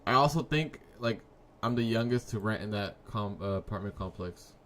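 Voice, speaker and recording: A man in his early twenties hosting a live trading stream, chatting casually with viewers in a laid-back, slangy way and letting his sentences trail off quietly. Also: fairly low pitch, normal speed, slight background noise. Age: 20s. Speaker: livestream trading host. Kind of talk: casual live-stream chat reading comments.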